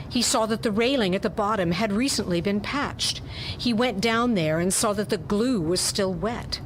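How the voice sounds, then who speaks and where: A woman's voice: medium pitch, slight background noise, rough recording. A woman narrating a pulp detective story as a dramatic reading.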